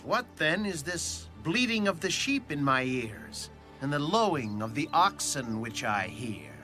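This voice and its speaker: Stern prophet for a Bible audio drama: grave, very measured rebukes, music underneath. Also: male voice, a little high, sing-song, pushed and bright, full character voice. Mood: stern, accusing. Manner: solemn, measured, accusing. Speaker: actor.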